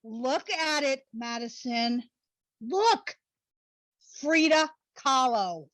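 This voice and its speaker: A woman's voice: sits high, animated, drawn-out words, a bit bright, dry recording, heavily acted. A woman playing a gushing, needy and blunt young woman in a comedic play reading, with dramatic, exclamatory slang. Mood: blunt, teasing. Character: Brooklyn, gushing, needy, blunt young married best friend.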